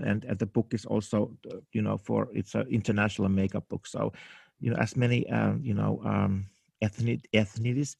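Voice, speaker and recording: Finnish man, gravelly and halting. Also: fairly low pitch, a little mellow, dry recording. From Finland. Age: 50s. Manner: halting, searching for English words with many fillers and restarts. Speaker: makeup artist guest. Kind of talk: casual interview answers.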